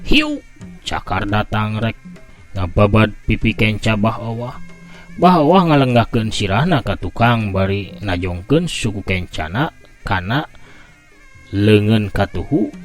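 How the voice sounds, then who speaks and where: A man from West Java telling a martial arts story slowly, with vivid sound effects. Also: on the low side, expressive, soft background music. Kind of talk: scripted storybook narration.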